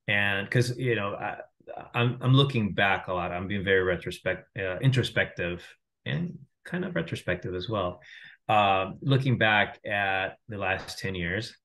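A man's voice: deep voice, pushed and bright. A man in his thirties from Venezuela, a company founder talking on a video call in a casual, reflective way with frequent restarts. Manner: reflective, many restarts and fillers. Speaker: founder and guest. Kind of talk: casual interview talk. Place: home room via video call. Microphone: video call webcam mic.

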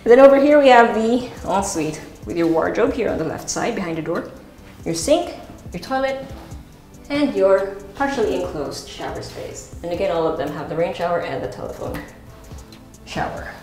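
A woman's voice: mid-range voice, animated, normal speed, darkish tone. A woman with a Filipino accent hosting a casual, chatty house tour as she walks through empty rooms. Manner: chatty, unscripted, switches into Tagalog asides. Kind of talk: casual guided property tour, presenting while walking. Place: empty rooms and stairwell indoors. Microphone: wireless body mic, pack at waist. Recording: echoey bare rooms, music underneath. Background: music.

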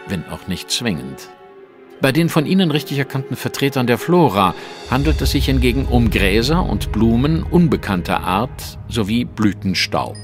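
An actor playing a shrewd, self-assured gentleman detective in an audio drama, speaking in a precise, formal, lecturing manner. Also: mid-range voice, lightly acted.

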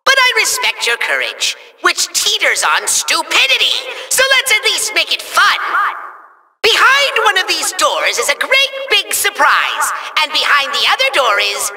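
A man voicing a small, pompous self-styled evil emperor in a video game, with a shrill nasal voice, gravelly edge and bombastic theatrical delivery. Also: huge pitch swings, measured pace, pushed and bright, roomy sound, long reverb tail, soft background music, full character voice, rough recording. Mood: menacing, gloating. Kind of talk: cartoon villain game voice acting.

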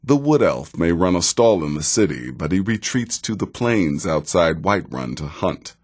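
An actor playing a sardonic middle-aged desert assassin in a fantasy video game, with a very deep, gravelly voice and a dry, measured, theatrical delivery. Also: male voice, very animated.

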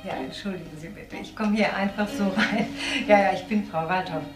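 A woman's voice: mid-range voice, fairly even intonation, brisk, loud music behind the voice, natural voice. An actress playing a kindly, forthright middle-aged married woman in a crime radio drama, courteous and direct. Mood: friendly, forward. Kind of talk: naturalistic German crime radio drama acting.

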